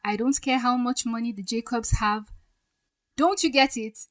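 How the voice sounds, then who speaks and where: A woman acts a defiant young bride-to-be in a radio drama. Her voice is high, with a firm, pointed manner that carries wounded pride. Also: steady tone, moderate pace, close to an everyday voice.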